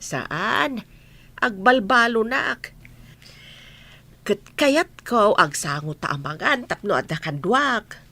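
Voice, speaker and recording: A woman's voice: medium pitch, animated, slow pace, long pauses, bright, forceful tone, full character voice. A woman acting a kindly, firm, fair-minded older coworker in an Ilocano radio comedy drama. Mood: coaxing.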